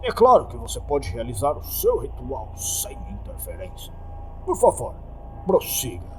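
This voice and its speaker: Man playing a composed, hushed, secretive boss. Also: mid-range voice, huge pitch swings, measured pace. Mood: placating. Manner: hushed, curt, controlled. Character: composed male employer, secretive and placating. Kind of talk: dramatic horror story reading. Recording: faint background noise.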